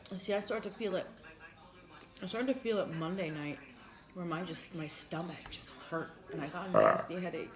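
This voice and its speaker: Lowish woman, frank casual chatter. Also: very animated, normal speed. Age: adult parent. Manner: frank, swears freely. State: recovering from flu, coughing. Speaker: mukbang co-host. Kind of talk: casual chat while eating.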